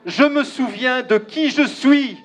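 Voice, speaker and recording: A man from Belgium with a Belgian French accent, giving an emphatic, declamatory speech at an outdoor rally and pausing often. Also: very high voice. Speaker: rally speaker. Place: outdoor crowd gathering.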